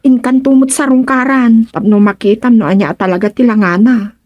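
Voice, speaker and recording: An actress playing a plain-spoken village health worker in a Filipino radio comedy drama, with a Filipino accent. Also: female voice, on the high side, steady tone, normal speed, a little mellow, dry recording, dull audio, close to an everyday voice. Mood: concerned, guarded.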